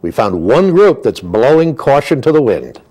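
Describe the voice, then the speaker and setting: A man hosts a magazine show in a medium-pitched voice. He moves between interview questions and introductions to the next segment. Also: big pitch swings, normal speed, darkish tone, husky. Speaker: television show host.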